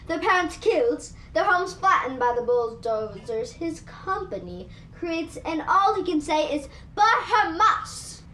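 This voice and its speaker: Woman as a bright, high-pitched spiteful gossip. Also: full character voice. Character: gleefully spiteful gossiping female townsperson. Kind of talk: holiday radio play acting. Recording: roomy, faint noise.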